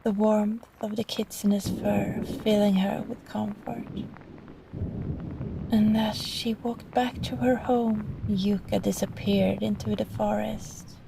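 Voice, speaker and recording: A woman reading a bedtime story softly and breathily in a gentle, soothing ASMR manner, often close to a whisper. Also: mid-range voice, level tone, creaky, background noise. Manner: gentle, slow, soothing delivery. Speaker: storyteller, voice actress. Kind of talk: soft bedtime story reading, sleep-aid ASMR roleplay.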